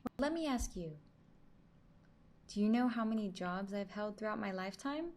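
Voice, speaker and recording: A woman with a mid-range voice voices a host's side of an interview. She sets out her questions in steady, deliberate phrases. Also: mid-range voice, a little hiss or hum.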